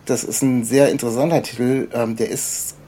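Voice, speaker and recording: A man's voice: medium pitch, fairly even intonation, moderate pace, mellow, slight background noise. A man interviewing a guest in casual chat, with a rambling, roundabout way of asking questions. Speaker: podcast host, interviewer.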